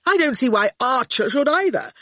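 Lady narrator doing snooty young wizard guy, high and sarcastic, bouncy delivery. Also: expressive, moderate pace, fairly bright tone, no room sound, studio quality. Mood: haughty. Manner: haughty, sarcastic. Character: haughty young male sorcerer, mocking and touchy.

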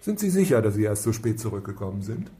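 An actor playing an impatient, commanding police investigator in a naturalistic radio drama, curt and sarcastic. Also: male voice, lowish voice, big pitch swings, fast talker, warm tone. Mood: stern, impatient. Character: brusque, impatient male police lead investigator.

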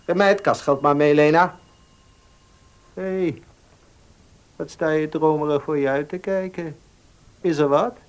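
A man playing an officious, bossy baker in a radio drama, speaking briskly. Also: on the high side, smooth, lightly acted. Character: officious, money-minded male baker, bossy brother.

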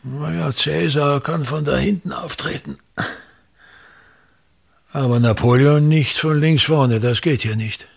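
Gravelly, pompous old theatre tyrant. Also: male voice, mid-range voice. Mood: disgusted, irritable. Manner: grandiose, misanthropic, declamatory, repeating himself. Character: pompous embittered ageing touring actor-manager, ranting about everything. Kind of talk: theatrical radio play monologue.

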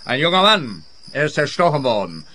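Radio-play police officer: sardonic, condescending, bright forceful tone, slightly high pitch. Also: male voice, sing-song, brisk. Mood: sardonic, weary. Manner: sarcastic, condescending. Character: sardonic, world-weary male police officer. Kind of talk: radio comedy-crime acting.

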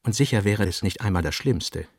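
A man reading essayistic literary prose for radio with a measured, deliberate delivery. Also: lowish voice, lively intonation, a little mellow, breathy edge, no room sound, clean studio sound. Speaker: narrator.

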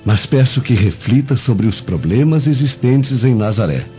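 A man's voice: mid-range voice, lively intonation, normal speed, dark tone, lightly acted. A man playing a courteous, persuasive Roman guardsman in a radio drama, formal and obliging. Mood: smoothly persuasive. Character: deferential, persuasive Roman guardsman advising a senator. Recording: dull lo-fi radio drama, music behind.

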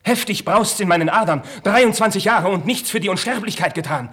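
An actor playing an impassioned, proud young Spanish crown prince in a 1950s German radio drama, with declamatory, theatrical diction and a slightly husky voice. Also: male voice, high voice, expressive, brisk, a bit bright, heavily acted. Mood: anguished, urgent.

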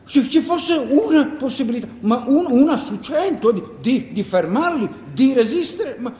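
An actor playing a frightened village miller who stammers and pleads anxiously in a theatrical stage style. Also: male voice, very high-pitched, expressive, normal speed, dark tone, voice pushed up. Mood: agitated pleading. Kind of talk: theatrical stage monologue acting. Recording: echoey hall with long reverb.

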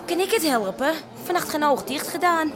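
High-voiced, aggrieved café worker. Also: female voice, expressive, normal speed, crowd noise. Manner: complaining, snippy. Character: aggrieved, tired young mother working in a café. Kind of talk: Dutch radio drama acting. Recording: music playing behind the voice.

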